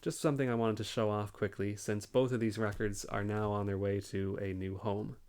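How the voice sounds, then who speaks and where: A man presenting as a collector in an informative explainer style, measured and articulate. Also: lowish voice, flat delivery, darkish tone, clear, resonant tone. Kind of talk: informative explainer to camera or over shown records.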